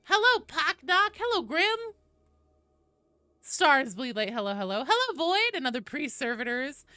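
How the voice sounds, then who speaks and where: A woman streamer with a bright, crisp voice and lively, swinging pitch. She chats casually and informally with her viewers, swearing freely, on a close, dry-sounding recording. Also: measured pace, dry recording. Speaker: live streamer hosting a game stream. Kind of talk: casual livestream chat with viewers.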